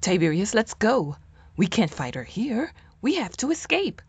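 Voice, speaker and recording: A woman playing a practical, level-headed fugitive leader in an audio drama, brisk, urgent and decisive. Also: medium pitch, sing-song, darkish tone, slightly rough, no echo, heavily acted.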